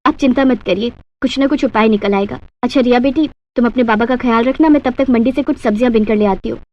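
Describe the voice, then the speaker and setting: A woman's voice: fairly high pitch, fast talker, dull audio, natural voice. A woman voicing a humble, hardworking housemaid and mother with an earnest manner in a Hindi cartoon story. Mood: earnest, hopeful.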